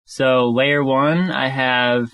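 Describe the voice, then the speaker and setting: A man's voice: medium pitch, fairly even intonation, drawn-out words, very noisy. Man giving a casual music production tutorial. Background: music and vocoder demo.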